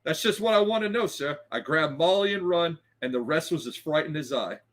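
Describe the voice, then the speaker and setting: Man putting on a high Cockney bellboy. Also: expressive, fairly quick, a bit bright. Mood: defensive. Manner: ungrammatical, peppered with respectful address. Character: young Cockney bellboy, deferential yet scrappy and indignant.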